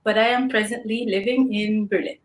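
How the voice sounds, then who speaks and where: A woman's voice: mid-range voice, steady tone, brisk. A woman from Canada co-hosting an online meditation session, explaining the plan in a friendly way with frequent ums. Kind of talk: warm welcoming introduction. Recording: roomy echoey sound.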